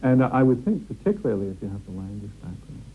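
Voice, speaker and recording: A man working as an embassy official, answering deliberately and searching for words. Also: fairly low pitch, very animated. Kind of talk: interview answers. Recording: tinny phone-like audio with hum.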